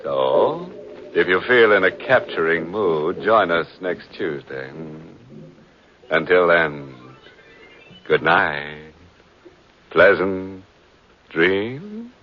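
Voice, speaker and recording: A man's voice: low voice, sing-song, extremely slow, pauses often, a little hiss or hum. A man hosting a 1940s radio mystery show with wry, macabre, punning humour. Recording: muffled vintage radio, faint music.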